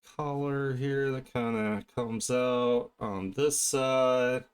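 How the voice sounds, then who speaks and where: A man chatting casually on an art live stream, talking haltingly and half to himself as he works. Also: medium pitch, clean tone. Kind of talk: casual live-stream chat while drawing.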